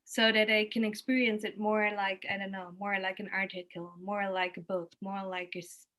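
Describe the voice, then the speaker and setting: A woman's voice: medium pitch, level tone, pushed and bright. German-accented woman searching for her words. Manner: halting, searching for words, thinking aloud. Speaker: interviewed art educator giving museum tours. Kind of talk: casual conversational explanation.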